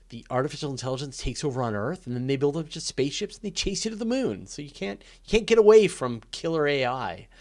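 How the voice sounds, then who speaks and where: A man hosting a live question and answer session, talking off the cuff in an informal, opinionated, emphatic way and thinking aloud. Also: medium pitch. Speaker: host of a live space and astronomy Q&A. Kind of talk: casual off-the-cuff Q&A commentary.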